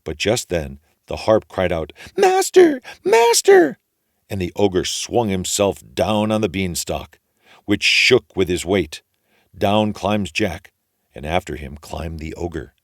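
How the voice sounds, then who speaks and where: A man reading a children's story aloud as an expressive storyteller. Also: low voice, measured pace, dry recording, studio quality.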